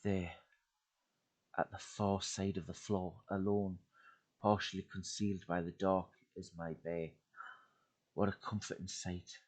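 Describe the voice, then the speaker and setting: A man giving a dramatic reading of a horror story into a home desk mic, swinging from loud urgency to hushed, breathy lows. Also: flat delivery, unhurried, pauses often. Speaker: YouTube creepypasta reader.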